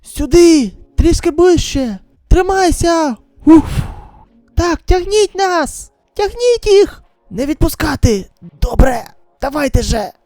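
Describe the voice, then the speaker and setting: A woman voicing a frantic survivor shouting urgent orders in a dramatic manga voice-over. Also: sits high, animated, takes it slowly, dry recording, pitched above the performer's own voice.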